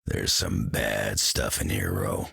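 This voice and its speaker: Gruff old fighter for a game, really low growly voice, sharp edge, grumbles in slang. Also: male voice, little pitch movement, slow, no echo, studio quality, full character voice. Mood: weary, gruff. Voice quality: growling, gravelly. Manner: grumbling, curt, folksy slang. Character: gruff, weary old mutant brawler. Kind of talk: video game character lines.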